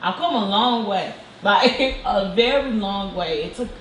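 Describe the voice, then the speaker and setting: A woman's voice: mid-range voice, expressive, measured pace, fairly bright tone. A woman in her forties, a guest in a casual talk-show chat, speaking earnestly and reflectively and laughing easily. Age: 40s. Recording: echoey room with background noise.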